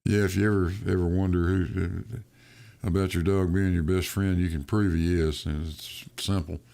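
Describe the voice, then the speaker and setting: Brief: gravelly low-voiced man, host of a live chat stream, jokey and agreeable. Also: little pitch movement, warm tone. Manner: joking, quick to agree. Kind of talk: casual call-in chat.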